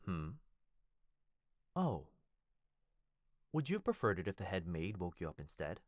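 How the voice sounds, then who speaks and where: Man acting a low-voiced, devoted, courteous butler. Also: huge pitch swings, unhurried, no room sound, clean studio sound, heavily acted. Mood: attentive, polite. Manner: formal, deferential, fond, softly teasing. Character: devoted young head butler, courteous, gently teasing. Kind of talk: ASMR boyfriend roleplay, scripted acting.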